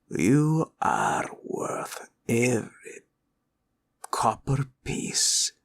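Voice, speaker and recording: Gravelly, slow-drawling greedy dragon. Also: male voice, extremely low pitch, fairly bright tone, exaggerated voice. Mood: gloating. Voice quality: gravelly, creaky. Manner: slow, drawling, grumbling and haggling. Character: greedy, menacing, gloating male green dragon hoarding gold. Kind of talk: roleplay audio villain acting.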